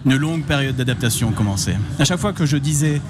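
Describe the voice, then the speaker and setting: A man's voice: mid-range voice, lively intonation, quick pace, noisy background. A man in his thirties from Spain, performing a playful, self-mocking comic chronicle live at a radio fair. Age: 30s. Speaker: comedian and offbeat reporter, contest candidate. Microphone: headset with headphones.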